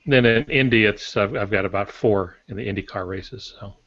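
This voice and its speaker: An older man speaks in a fairly low voice as a podcast co-host. His manner is casual and unhurried. The recording is dry, with a dull, lo-fi edge. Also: a bit bright, no echo. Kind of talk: casual chat. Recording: dull lo-fi audio.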